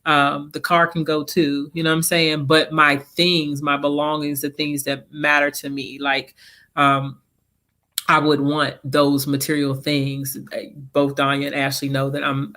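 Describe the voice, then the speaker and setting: Bright-voiced woman, sniffly from a cold. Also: deep voice. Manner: chatty, personal, laughs easily. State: getting over a cold, sniffly. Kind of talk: casual panel conversation.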